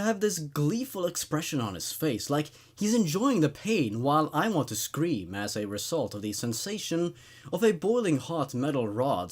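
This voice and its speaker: A man hosting a horror story reading, narrating in an expressive, conversational, laid-back way. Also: mid-range voice, slightly rough. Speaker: host reading horror stories aloud.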